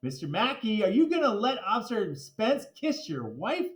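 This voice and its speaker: A man voicing an indignant, excitable second-grade boy from a storybook, blurting out protests. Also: sits high, moderate pace, pitched above the performer's own voice. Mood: loud disgust. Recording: echoey, roomy sound.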